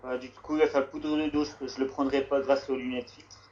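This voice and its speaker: A man's voice: mid-range voice, little pitch movement, a little fast, darkish tone. A man chatting casually as the guest player, agreeable and brief, over a lo-fi voice chat. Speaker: player and guest commenting on own matches.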